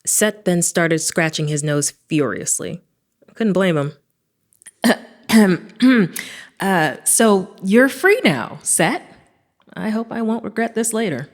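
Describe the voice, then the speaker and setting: A woman's voice: medium pitch, lively intonation, slow. A woman playing a sarcastic, reckless adventurer who narrates her own audio drama in a wry, casual, self-deprecating way. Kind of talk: first-person audio drama narration and dialogue.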